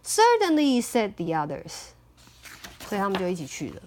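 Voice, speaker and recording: A woman's voice: fairly low pitch, fairly quick, darkish tone, slight background noise. A native Mandarin-speaking woman reading a picture book aloud expressively, with chatty asides as a parent would. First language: Mandarin Chinese.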